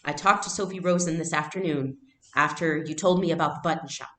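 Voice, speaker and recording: A woman reading a storybook aloud as a gentle, reassuring mother speaking to her child. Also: deep voice, fairly quick, echoey room.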